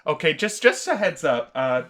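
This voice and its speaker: A woman's voice: low-pitched, sing-song, normal speed, a bit bright. A woman co-hosting a game playthrough with casual, teasing banter.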